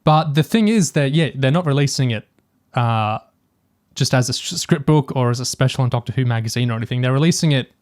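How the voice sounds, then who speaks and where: A man's voice: medium pitch, lively intonation, brisk, darkish tone. A man co-hosting a podcast, talking casually and analytically with a fan's enthusiasm. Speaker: podcast co-host. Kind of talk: casual discussion of audio drama and TV.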